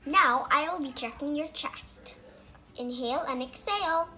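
A woman voicing a calm, reassuring doctor puppet, explaining carefully in a scripted children's educational show. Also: sits high, moderate pace.

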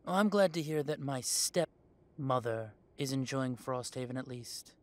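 A man's voice: mid-range voice, expressive, measured pace, lightly acted. A man playing a young adult werewolf in an audio drama, an estranged heir who talks with sarcasm and indignation. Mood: sarcastic. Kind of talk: audio roleplay drama acting.

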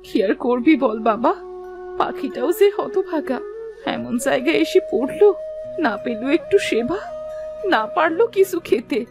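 Woman as meek village mother, cartoon, sorrowful, pleading, high voice, swooping intonation. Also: lively intonation, normal speed, music in the background. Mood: sad, resigned. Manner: pleading, submissive, gentle. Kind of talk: animated moral story acting.

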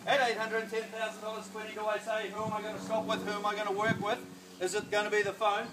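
A middle-aged man with a New Zealand accent calling bids as an auctioneer in a backyard, coaxing buyers and chanting the price in brisk repeats. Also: high voice, monotone, echoey room, background noise. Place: sunny backyard outdoors. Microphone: phone camera at a distance.

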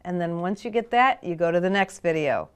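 A woman's voice: lowish voice, animated, brisk, smooth. A middle-aged woman from Michigan hosting a photography show, chatting upbeat and promoting her course in a studio on a lapel mic. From Michigan, USA. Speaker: podcast host and photography teacher. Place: studio with dark backdrop.

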